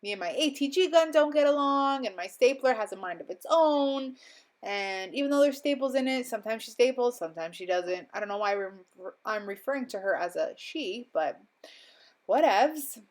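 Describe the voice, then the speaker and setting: A woman's voice: fairly high pitch, lively intonation, normal speed. A young woman recovering from a cold, narrating a crafting voiceover at home in a rambling, chatty way with lots of ums and little laughs. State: slightly stuffy, recovering from illness. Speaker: crafting vlogger. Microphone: voiceover mic.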